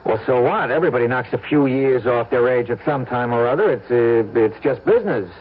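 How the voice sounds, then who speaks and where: A man's voice: mid-range voice, lively intonation, a little fast, a little hiss or hum, lightly acted. An American man acting in an old-time radio drama as a scheming young husband, stammering and repeating himself as he coaxes. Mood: defiant. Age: young adult. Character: scheming young husband, nervy, coaxing, smooth-talking. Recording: muffled old radio broadcast.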